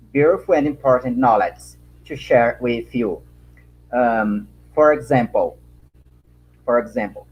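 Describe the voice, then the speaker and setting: Man, Brazilian Portuguese accent, non-native English, slow, halting, lots of fillers, mid pitch, muffled call audio. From Acre, Brazil. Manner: halting, many fillers and repeated words, searching for words.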